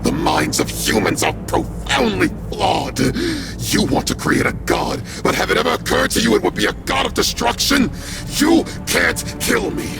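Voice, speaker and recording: Very gravelly AI parasite villain. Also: male voice, high-pitched, huge pitch swings, moderate pace, exaggerated voice. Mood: defiant, menacing. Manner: menacing, theatrical, contemptuous. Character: arrogant male AI parasite villain in a powerful body. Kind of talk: dramatic video game cutscene acting. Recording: loud music under the voice.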